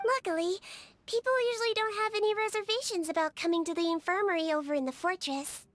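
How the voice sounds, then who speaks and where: A woman voicing a small, sweet young female melusine nurse in a video game, with a childlike voice and a gentle, earnest, reassuring manner. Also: very high voice, fast talker, no room sound. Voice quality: childlike, girlish. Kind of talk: video game character voice lines. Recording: studio voice over game music.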